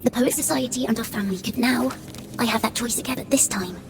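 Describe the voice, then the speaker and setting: A woman's voice: fairly high pitch, fast, gravelly, background noise. An actress playing an earnest young songwriter in a video game, confiding her family's secret in scripted monologue. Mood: wistful.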